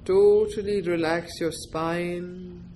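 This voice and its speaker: Slow-paced woman guiding a meditation. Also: on the low side, fairly even intonation, a little hiss or hum. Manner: gentle, deliberate short phrases with long pauses. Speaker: meditation teacher. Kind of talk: guided meditation, slow spoken instructions.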